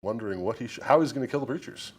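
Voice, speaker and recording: A man's voice: mid-range voice, animated, rapid-fire, slightly rough. A man commentating a live tabletop wargame, teasing the players and chuckling as he talks. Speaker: stream commentator.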